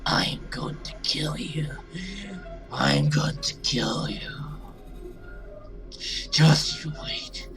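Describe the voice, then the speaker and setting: A vengeful demon from a horror game, rasps its threats from a strained throat. It draws the words out slowly with murderous menace, over loud music. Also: male voice, medium pitch, big pitch swings, recorded from a distance. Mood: menacing rage. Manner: slow, drawn-out menace.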